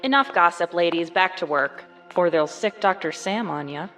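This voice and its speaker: An actress playing a brisk, scolding supervisor in an audio drama. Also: female voice, lowish voice, a bit bright, faint music behind, natural voice. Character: brisk supervising woman scolding gossiping nurses.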